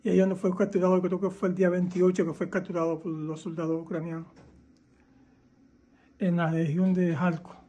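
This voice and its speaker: A man in his forties from Cuba with an eastern Cuban accent, a captured soldier giving halting, factual recorded testimony. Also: high voice, level tone, mellow, muffled recording. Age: 40s.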